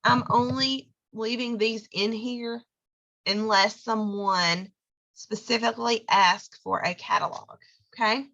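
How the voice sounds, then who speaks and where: A hoarse woman, giving a chatty how-to talk and often checking in with "okay?". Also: on the high side, steady tone, slow pace, pushed and bright, highs cut off. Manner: chatty, lots of fillers and "okay?" check-ins. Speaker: direct sales consultant.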